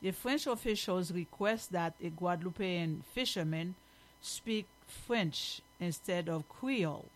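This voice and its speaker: A woman's voice: lowish voice, low-quality recording. A woman from Haiti with a Haitian accent, reading news aloud as a host and commenting on it in an emphatic, opinionated way.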